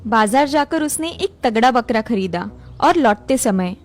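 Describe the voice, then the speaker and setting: A woman's voice: a little high, animated, a little slow, soft background music. A woman narrator reading a scripted moral story for a cartoon.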